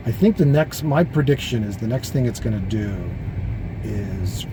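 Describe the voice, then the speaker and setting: A man's voice: medium pitch, lively intonation, moderate pace. A man in a car casually explaining the features of his electric car, chatty and laughing at times. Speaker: car owner vlogger. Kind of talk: casual chat. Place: inside a car. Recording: loud road noise in car.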